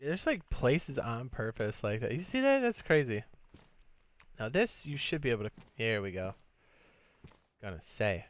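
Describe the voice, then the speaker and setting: Male game streamer, mid-pitched, relaxed and chatty, thinks aloud mid-play, faint noise behind. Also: unhurried, pauses often. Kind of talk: casual live gameplay commentary.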